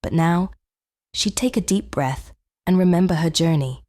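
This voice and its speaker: A woman with a fairly low voice narrates a children's storybook. Her reading is gentle and measured, with expressive phrasing, recorded dry and close. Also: dry recording. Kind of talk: scripted bedtime storybook narration.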